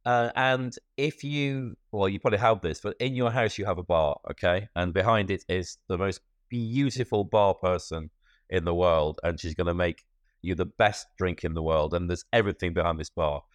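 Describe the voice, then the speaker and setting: A man's voice: low-pitched, normal speed, no echo. A man hosting a podcast interview, playfully setting up imagined scenarios for his guest. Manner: playful, sets up imaginative questions.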